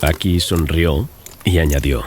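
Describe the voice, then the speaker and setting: A man's voice: extremely low pitch, unhurried, noisy background. A man narrating a dramatized gothic horror audiobook as a scripted reading. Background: loud music and sound effects.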